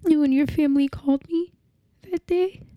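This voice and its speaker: A young woman playing a grieving partner in a dramatic monologue, with a halting, tearful delivery full of restarts. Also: high-pitched, fairly even intonation, no room sound, dull audio, natural voice.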